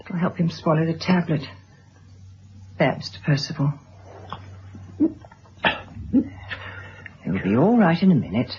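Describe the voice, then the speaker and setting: An actress playing a gentle, solicitous middle-aged mother and wife in a radio drama, speaking considerately. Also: deep voice, big pitch swings, fairly quick, a little hiss or hum. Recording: dull old radio recording.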